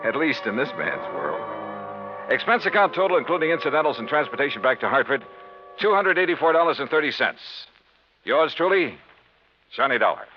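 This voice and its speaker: An actor playing a sharp, confident insurance investigator who narrates in brisk, wry 1950s radio detective style. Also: male voice, mid-range voice, big pitch swings, natural voice. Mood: reflective, wry. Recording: muffled 1950s radio recording with music.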